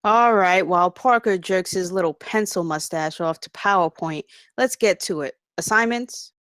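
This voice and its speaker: A woman's voice: fairly low pitch, normal speed, a bit bright, dry recording, clean studio sound, lightly acted. A woman reading a chatty campus security staffer at a script table read. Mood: sassy.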